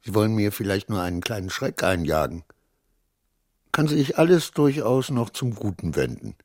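An actor playing an anxious, self-pitying clerk who mutters agitatedly to himself in a radio play, swinging between dread and defiance. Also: male voice, on the low side, very animated, normal speed. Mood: anxious, resigned. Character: anxious, self-pitying male clerk, brooding over his double.

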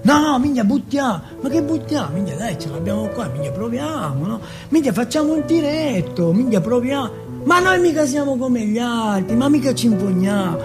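A man voicing an excitable, streetwise teenage boy in a stage monologue, slangy, insistent and full of swearing. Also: very high-pitched, moderate pace, music in the background, voice pushed up. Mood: excited, urgent. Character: excitable, foul-mouthed streetwise teenage boy from housing projects.

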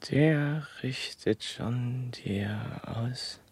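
Slow, creaky, callous gravedigging man. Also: mid-range voice, darkish tone, heavily acted. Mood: macabre, mocking. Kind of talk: theatrical radio play verse.

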